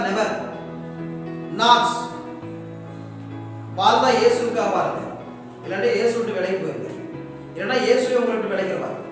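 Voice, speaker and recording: A man preaching a fervent sermon, exhorting emphatically with repeated rhetorical questions. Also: high-pitched. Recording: echoey hall, distant mic, music behind.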